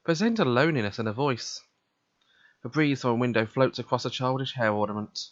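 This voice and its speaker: A man's voice: medium pitch, fast talker, no echo, lo-fi sound. A man reading a visual novel aloud, narrating in the first person and voicing its dialogue.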